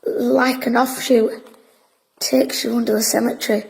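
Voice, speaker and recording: A woman's voice: a little high, flat delivery, a little slow, gravelly, a little mellow, rough recording. A woman playing a bossy, boastful wartime boy who tells spooky tales, in an audio drama. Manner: officious, storytelling.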